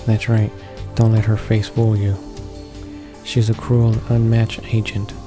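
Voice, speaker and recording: Low-voiced man reading along over game music. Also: completely flat delivery, a little slow. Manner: stumbles over words while reading. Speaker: let's player reading along. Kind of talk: reading visual novel text aloud. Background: video game music.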